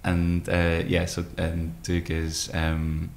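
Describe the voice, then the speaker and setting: A man in his thirties talking reflectively as an interview guest, hesitant and thoughtful, pausing often. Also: low voice, completely flat delivery, vocal fry. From Scottish Highlands. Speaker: guest, poet and musician. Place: room with tall windows, indoors. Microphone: microphone on desk stand.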